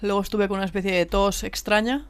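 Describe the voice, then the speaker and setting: A woman's voice: medium pitch, steady tone, quick pace, smooth. A woman with an accent from Spain, chatting casually and playfully in slangy, informal speech as a podcast co-host. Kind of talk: casual chat.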